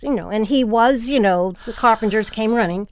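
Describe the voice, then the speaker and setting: A woman's voice: on the high side, moderate pace. A woman hosting a theatre podcast, interviewing guests in a studio discussion. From Transylvania family background.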